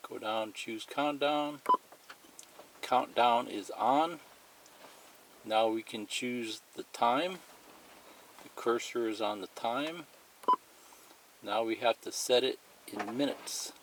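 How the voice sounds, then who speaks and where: A man's voice: medium pitch, slow pace, pauses often, noisy background. A man giving a plain, methodical, unscripted step-by-step demonstration of a product as a tutorial presenter.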